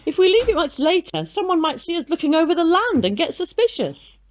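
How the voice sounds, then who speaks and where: A woman's voice: very high-pitched, very animated, a little fast, muffled recording. A woman playing a bustling, bossy but chummy organiser who chivvies people along in an audio drama. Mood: chivvying. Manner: exclamatory, chivvying.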